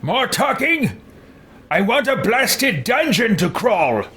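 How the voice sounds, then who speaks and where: Man putting on a slow, theatrical, imperious inquisitor. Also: very high voice, very animated, sharp tone, a little hiss or hum, voice pushed up, exaggerated voice. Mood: exasperated. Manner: theatrical, swinging from suave to exasperated. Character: imperious, fed-up, scheming male fantasy inquisitor. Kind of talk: roleplay voice for a video game.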